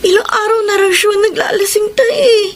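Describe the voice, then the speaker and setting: A woman's voice: extremely high pitch, normal speed. A woman playing a worried, distraught grown daughter in a radio drama. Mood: tearful, worried. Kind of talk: Tagalog radio drama acting.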